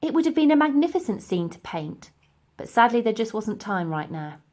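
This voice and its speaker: A woman's voice: on the high side, big pitch swings, normal speed, a little mellow. A woman narrating a children's storybook expressively as its reader.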